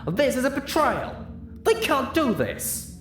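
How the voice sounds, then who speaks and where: A man's voice: extremely high pitch, huge pitch swings, slow pace, a bit bright, roomy sound, pitched above the performer's own voice, exaggerated voice. A man voicing an older cartoon-style pony mare in an audiobook, a folksy, emphatic country boss, strained with fury and heartbreak. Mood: furious, anguished.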